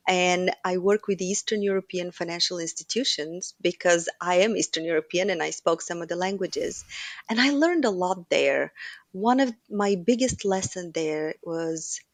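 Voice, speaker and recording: Bulgarian-accented woman, measured and dry-sounding. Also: lowish voice, no room sound. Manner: measured, structured explanations. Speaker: guest, operations executive. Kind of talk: podcast interview.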